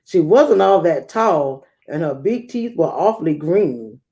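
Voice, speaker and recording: A woman reading a rhyming children's picture book aloud, acting it out with theatrical emphasis and dramatic pauses. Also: sits low, big pitch swings, warm tone. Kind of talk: expressive rhyming storybook read-aloud.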